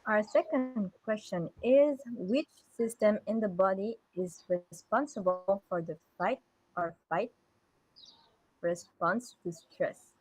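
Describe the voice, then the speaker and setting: A woman co-hosting a radio program, soft-spoken and giggly. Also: medium pitch, takes it slowly, slightly rough, dry recording, poor audio. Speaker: radio co-host.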